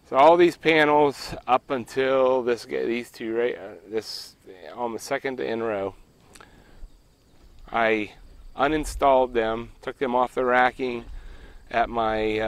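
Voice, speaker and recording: A man's voice: mid-range voice, a bit bright, clear, resonant tone. A middle-aged man from Pennsylvania walking through his solar array outdoors, talking in a plainspoken, rambling way and correcting himself as he goes. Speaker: homestead solar vlogger. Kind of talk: casual walkthrough vlog. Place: outdoors by a ground-mounted solar array in a rural field.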